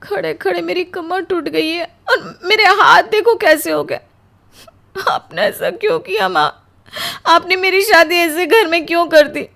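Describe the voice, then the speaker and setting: A woman's voice: extremely high pitch, expressive, a little slow, gravelly, a bit bright, pitched above the performer's own voice, full character voice. A woman playing an exhausted, aggrieved young newlywed bride in a melodramatic cartoon story, plaintive and complaining. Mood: tearful, bitter.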